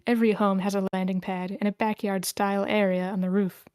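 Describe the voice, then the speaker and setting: A woman's voice: medium pitch, level tone, brisk, a little mellow, clear, resonant tone, no room sound, clean studio sound. A woman reading stage directions as narrator, in scripted narration at a screenplay table read.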